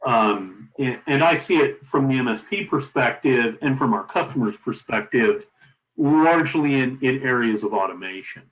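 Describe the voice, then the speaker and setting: A middle-aged man being interviewed as a company founder, giving advice in an emphatic, deliberate conversational way. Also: mid-range voice, lively intonation, fairly bright tone. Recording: roomy, echoing sound.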